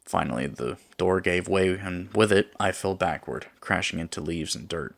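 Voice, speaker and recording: A man narrating a scripted first-person horror story in a measured, suspenseful way. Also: sits low, creaky, no room sound.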